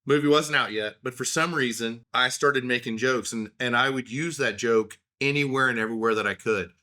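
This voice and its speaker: A man's voice: mid-range voice, a little fast, pushed and bright. A man in his thirties with an Oklahoma accent, telling rambling, self-deprecating stories in a casual solo talk.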